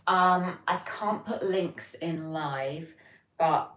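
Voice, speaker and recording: A woman's voice: sits low, very slow. A British woman hosting a livestream, chatting casually and informally and reading out comments from viewers. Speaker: livestream host. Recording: roomy, distant mic, noticeable background noise.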